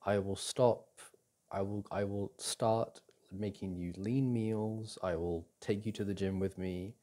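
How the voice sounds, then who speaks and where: A man playing a doting boyfriend in an intimate ASMR roleplay, speaking softly and breathily in a tender, reassuring manner. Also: on the low side, fairly even intonation, a little slow, lightly acted. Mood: tender, supportive. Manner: tender, reassuring, with sighs and soft chuckles. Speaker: audio roleplay performer.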